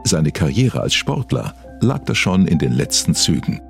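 Very deep man narrating a documentary. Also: sing-song, moderate pace, creaky. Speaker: documentary narrator and investigative presenter. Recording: music underneath. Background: background music.

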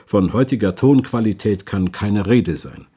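A man's voice: on the low side, measured pace, mellow, slightly rough. A man delivering scripted narration as a documentary narrator.

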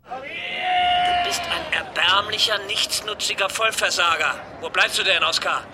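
A man's voice: high-pitched, huge pitch swings, unhurried, music in the background, full character voice. An actor playing a furious, contemptuous man in a radio drama, hurling theatrical insults with scorn. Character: furious, contemptuous man berating a useless partner.

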